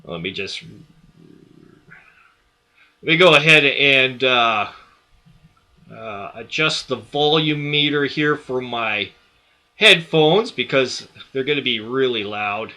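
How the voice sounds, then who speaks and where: A man giving a software tutorial, explaining each step in an unhurried way with many fillers, speaking into a desktop studio microphone. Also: medium pitch, animated. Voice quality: loud voice. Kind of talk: screen-recorded tutorial.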